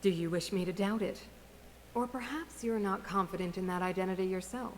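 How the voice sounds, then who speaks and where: An actress playing a composed, commanding middle-aged female leader, speaking in a measured, regal manner in a game cutscene. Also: medium pitch, close to an everyday voice. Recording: long hall reverb, faint noise.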